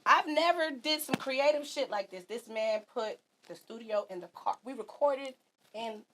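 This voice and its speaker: A woman who works in A&R, chatting casually as an animated, slangy storyteller. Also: on the high side, moderate pace, slight background noise. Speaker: A&R, guest. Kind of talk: casual chat.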